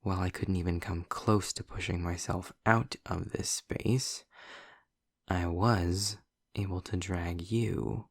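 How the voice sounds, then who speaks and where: Sardonic male demon for audio drama, played by a man: low, husky, snarky, unhurried. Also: no room sound, studio quality, full character voice. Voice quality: gravelly, husky low voice with breathy edges. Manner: sarcastic, deliberate, drawn-out phrasing. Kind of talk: scripted audio roleplay addressed to the listener.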